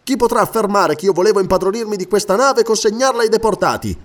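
A man's voice: medium pitch, sing-song, slow pace. A man voicing a sly, defiant convict quartermaster in an audiobook, insolent and emphatic in manner. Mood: mocking, defiant. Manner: insolent, challenging rhetorical questions, emphatic. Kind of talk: dramatic audiobook character reading.

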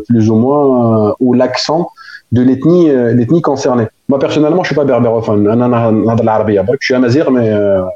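A man's voice: mid-range voice, warm tone, muffled recording. A man from Algeria, a metal band singer, answering interview questions casually with many fillers and restarts.

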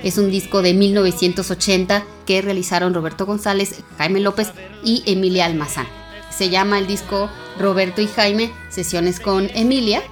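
A woman co-hosting a podcast, introducing songs and guests in a friendly way with small thinking pauses. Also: medium pitch, steady tone, faint music behind. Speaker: podcast co-host. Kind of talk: radio-style podcast hosting.

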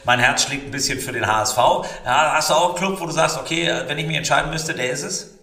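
Podcast co-host, male, opinionated and self-correcting, a little high-pitched, recorded off-mic in an echoey room. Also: fast talker, pushed and bright, far from the mic. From northern Germany. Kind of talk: casual football betting chat.

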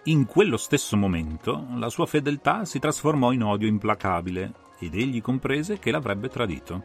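Man acting analytical male detective, audiobook drama, assured, expository, creaky voice, soft music underneath. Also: medium pitch, expressive, normal speed. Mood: grave, explanatory. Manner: assured, methodical, expository, theatrical phrasing.

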